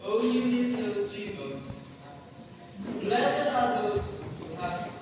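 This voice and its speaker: Man acting slow, high-voiced heavenly spirit, echoing. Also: animated, music in the background. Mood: solemn, soothing. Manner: slow, solemn proclaiming. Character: solemn, gentle heavenly spirit guiding a child. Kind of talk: amateur stage play acting. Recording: very echoey hall, distant mic, noisy.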